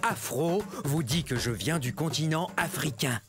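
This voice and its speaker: An actor voicing a boastful but laid-back predatory dinosaur, chatting casually to viewers in an educational cartoon. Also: male voice, a little high, measured pace. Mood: casual, instructive.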